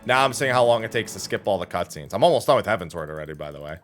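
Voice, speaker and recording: Man, livestream host, casual storytelling, very expressive intonation, profane, acts out dialogue, faint music behind. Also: deep voice, racing. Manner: animated anecdotes, acting out dialogue, profane.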